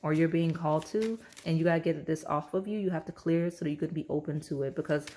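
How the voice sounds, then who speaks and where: Woman doing a chatty tarot reading, kinda hoarse, slight rasp, lowish voice. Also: quick pace, mellow. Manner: chatty, repeats "okay?" often. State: hoarse, losing her voice. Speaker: tarot reader. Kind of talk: casual pick-a-card tarot reading.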